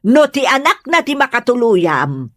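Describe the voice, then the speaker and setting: A woman's voice: fairly high pitch, very animated, a little slow, a bit bright, no room sound, studio quality. A woman acting a stubborn, grudge-holding mother of a grown son in an Ilocano comedy drama. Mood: scolding, stubborn.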